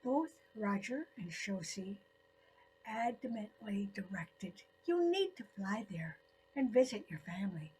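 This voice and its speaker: An elderly woman narrating her own scripted personal story in deliberate phrases with frequent pauses. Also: medium pitch, animated, darkish tone, a little hiss or hum, rough recording. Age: 70s or older.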